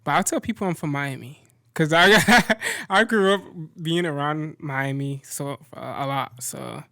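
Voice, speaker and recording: A young man from Central Florida talking as a guest in a casual chat, relaxed and slangy, laughing easily. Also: fairly high pitch, measured pace, dry recording, clean studio sound. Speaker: guest content creator.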